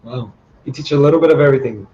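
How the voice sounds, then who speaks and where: A man working as an online English tutor, talking in a relaxed, friendly, chatty way with plenty of "um" and "you know" over a video call. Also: medium pitch, rapid-fire, muffled recording, slight background noise. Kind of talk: casual conversation.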